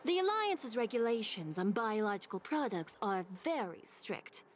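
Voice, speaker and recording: A woman's voice: fairly high pitch, big pitch swings, moderate pace, a little hiss or hum. An actress playing a firm, dutiful commission official in a video game, formal and insistent as she explains.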